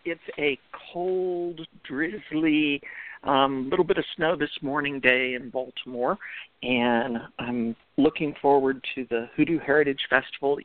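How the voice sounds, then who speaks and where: A man's voice: medium pitch, unhurried, no echo. A middle-aged man with a Texan accent chatting casually as a guest, hesitant, wry and good-humored. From Texas, USA. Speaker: guest panelist, deacon and rootworker. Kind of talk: casual chat. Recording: tinny phone line.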